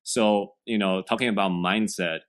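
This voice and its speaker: A young man from China with a Chinese accent, a guest in a podcast interview, speaking earnestly and haltingly with many restarts. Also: lowish voice, steady tone.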